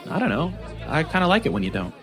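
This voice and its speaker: A man's voice: fairly high pitch, very animated, fast, soft background music, some chatter behind. An actor playing a shy young man at a Halloween party who stumbles and hesitates when flustered. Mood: awkward. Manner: hesitant, flustered, filler-heavy.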